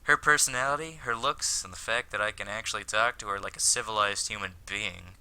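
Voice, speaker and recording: A man voicing an earnest, lovestruck, self-conscious high school boy from an anime visual novel, in a wordy, dramatic read-aloud delivery. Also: a little fast, sharp tone, clean tone, low-quality recording. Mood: wistful, dramatic.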